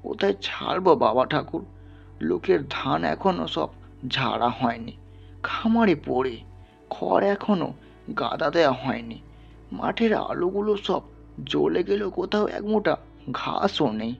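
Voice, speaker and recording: A humble, ailing peasant acted by a male reader pleads sorrowfully in an audio story. His voice is husky and creaky and sits fairly high. Music plays softly behind. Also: expressive, unhurried, pauses often, dull audio, exaggerated voice. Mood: weary, pleading. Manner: pleading, plaintive, deferential.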